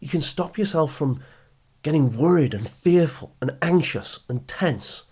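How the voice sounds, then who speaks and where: Husky British man giving emphatic advice. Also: on the high side, animated, a little slow, darkish tone. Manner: emphatic, explanatory, with rhetorical questions and repetition. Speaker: podcast host and study skills coach. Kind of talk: motivational advice podcast, addressing listeners directly.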